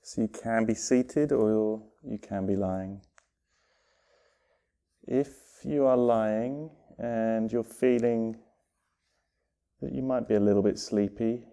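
A man leading a guided meditation with gentle instruction, speaking in unhurried phrases separated by long pauses. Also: fairly low pitch, fairly even intonation.

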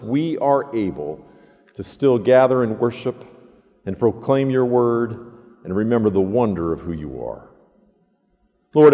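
A pastor in his sixties preaches in a mellow male voice. His phrasing is deliberate and emphatic, with a lively rise and fall in pitch. The sanctuary adds a long echo around his words. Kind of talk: sermon with scripture reading and prayer. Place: large church sanctuary, indoors. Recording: echoey church sanctuary.

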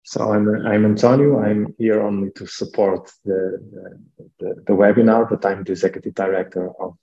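A low, gravelly man talks in a flat, mellow voice, stumbling over repeated words. He is heard over a video call. The audio is dull and lo-fi. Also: moderate pace. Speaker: executive director. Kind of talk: casual meeting talk.

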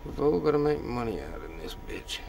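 A young man vlogging casually from inside his van at night, swearing often and rambling bluntly, his voice rough from being sick. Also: medium pitch, very animated, brisk. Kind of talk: casual vlog walkthrough. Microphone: handheld phone camera. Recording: noisy heater hum in a van. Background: diesel heater hum.

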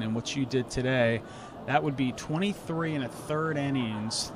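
A man's voice: medium pitch, background noise. Upbeat young male sports interviewer. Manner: upbeat, polished, reads stats off quickly. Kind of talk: post-game broadcast interview. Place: outdoor ballpark stands. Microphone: handheld mic. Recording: outdoor ballpark with crowd nearby.

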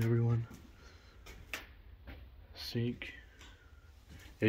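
A man's voice: on the low side, darkish tone, a little hiss or hum. A man in his twenties walks through an empty abandoned house on a first-person camera. He chats casually to his viewers and points out what he finds. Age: 20s. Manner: talks to viewers while exploring, points out objects in plain remarks. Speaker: urban explorer vlogger. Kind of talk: casual walkthrough narration. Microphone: first-person body or head camera.

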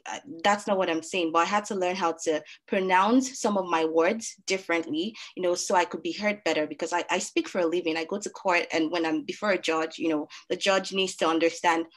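A woman with a Nigerian accent moderating a panel discussion on a video call, talking in a relaxed way with many fillers. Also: on the low side, fairly quick, few pauses.

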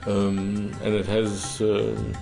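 A low-voiced man in his fifties talks about wine in a halting, restarting way, full of fillers. He sits at a tasting table in a cellar room. Soft music plays behind him. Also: completely flat delivery, creaky. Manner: hesitant, many fillers and restarts. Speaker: visiting winemaker. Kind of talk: halting casual explanation.

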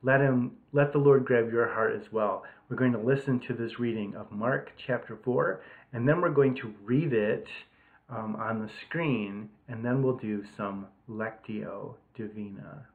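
A middle-aged man gives informal devotional teaching in a conversational tone, repeating key phrases. His medium-pitched voice comes through a narrow, phone-like webcam recording. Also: unhurried. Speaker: priest leading an online retreat. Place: small room at home. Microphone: webcam at arm's length. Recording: muffled, phone-like webcam audio.

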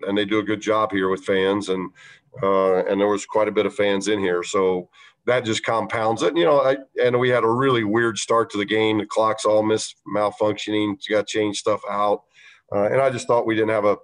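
A man coaching a basketball team, answering reporters' questions after a game, measured and halting with many fillers. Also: on the low side. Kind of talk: post-game press conference answers.

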